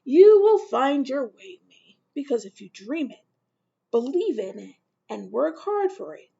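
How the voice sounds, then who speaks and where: A woman's voice: high-pitched, big pitch swings, unhurried, creaky, dark tone, husky, muffled recording, full character voice. A woman reading a reassuring storybook father. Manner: reassuring, emphatic. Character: reassuring father of a young girl.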